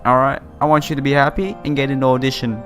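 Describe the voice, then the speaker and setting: Male let's player, mid-pitched, reads visual novel text and reacts casually, music underneath. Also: little pitch movement, fast, a bit bright. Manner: informal, chatty, exclamatory reactions. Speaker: let's play YouTuber. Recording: music behind the voice. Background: game music.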